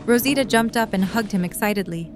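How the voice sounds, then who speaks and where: A woman's voice: medium pitch, fast talker, noisy background. A woman reading scripted third-person story narration for an audio drama.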